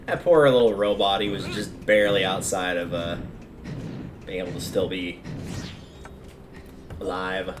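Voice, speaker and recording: A man with a fairly low voice talks his way through a video game on a livestream. He rambles casually, thinking aloud as he plays, with music playing behind him. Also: fairly even intonation, a little slow, pauses often. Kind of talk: casual gameplay commentary.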